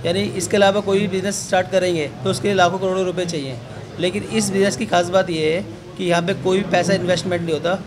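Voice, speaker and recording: A man's voice: high voice, fairly even intonation, fast, noisy background. A man giving promotional answers in an interview at an office opening, upbeat and salesy, speaking into a handheld mic. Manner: upbeat, salesy, opens with a long Islamic greeting. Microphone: handheld news mic held up close.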